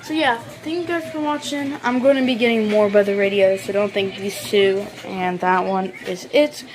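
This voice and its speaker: A woman vlogger doing a casual show-and-tell in a halting, informal way, on an amateur recording. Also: mid-range voice, steady tone, poor audio. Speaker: vlogger showing a weather radio collection.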